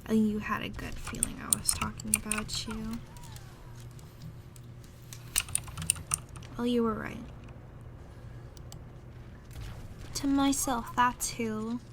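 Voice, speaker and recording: A woman's voice: on the high side, fairly even intonation, normal speed, natural voice. A woman playing a jealous, pouty young gamer girlfriend in a casual, half-improvised roleplay audio. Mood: sulky. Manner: pouty, grumbling, irritated. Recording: noisy room, clattering computer keyboard. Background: loud keyboard typing and background noise.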